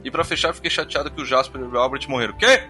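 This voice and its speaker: A man's voice: medium pitch, racing, pushed and bright, faint music behind. A man with a Brazilian accent, an irreverent, slangy podcast co-host casually reading out listener feedback and bantering.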